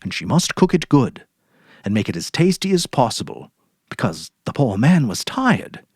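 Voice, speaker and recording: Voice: mid-range voice, big pitch swings, normal speed, husky, no echo, clean studio sound. A narrator giving a dramatic reading of a story.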